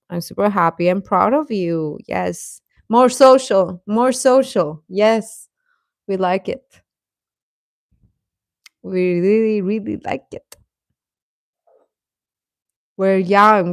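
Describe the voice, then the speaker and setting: A woman's voice: medium pitch, slow pace, long pauses, no room sound, clean studio sound. A woman chatting casually as a livestream host, talking directly to viewers and often repeating her phrases.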